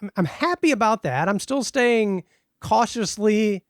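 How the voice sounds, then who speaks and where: A man co-hosts a podcast in easygoing conversation, with a higher voice and a wry humor. His sound is dry and close to the mic. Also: animated, unhurried, no room sound. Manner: wry, laughs often. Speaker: guest co-host. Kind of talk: casual chat.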